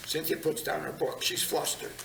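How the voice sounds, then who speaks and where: A woman's voice: moderate pace, a bit bright. A woman narrating a screenplay at a table read, plainly reading the stage directions with small stumbles. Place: large meeting room. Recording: echoey room, distant mic, faint noise.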